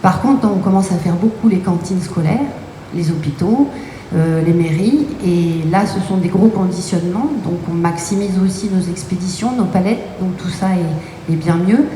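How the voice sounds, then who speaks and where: A woman's voice: lowish voice, moderate pace, warm tone. A woman from Brittany, a company co-owner, answering interview questions with many hesitations. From Brittany, France. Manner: explanatory, many hesitation fillers. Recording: echoey room with faint noise.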